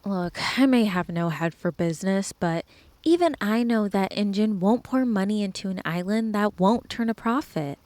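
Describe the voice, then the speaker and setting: A woman's voice: medium pitch, moderate pace, darkish tone, no echo, close to an everyday voice. An actress playing a wry, blunt, anxious woman park worker in an audio drama. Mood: anxious, glum.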